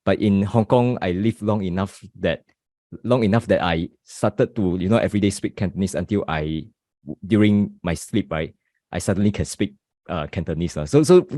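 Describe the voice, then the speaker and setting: Casual Singaporean co-host, native Mandarin speaker, lowish voice, dry close mic. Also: male voice, warm tone, dry recording. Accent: Singaporean English. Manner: chatty, compares places from experience. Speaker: podcast co-host. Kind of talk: casual chat.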